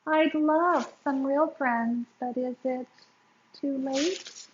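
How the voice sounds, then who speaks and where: A woman voicing a lazy, stubborn, lonely man from a picture book, with slow grumbling delivery. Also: high voice, dull audio, slight background noise. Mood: reluctant, wistful.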